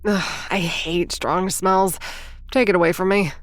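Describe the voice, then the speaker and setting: A woman's voice: fairly low pitch, fairly even intonation, unhurried, no echo, close to an everyday voice. A woman narrating and playing a wry, anxious would-be influencer close to thirty, full of rambling self-deprecating asides. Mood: fussy. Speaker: narrator and protagonist. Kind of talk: first-person audio drama narration.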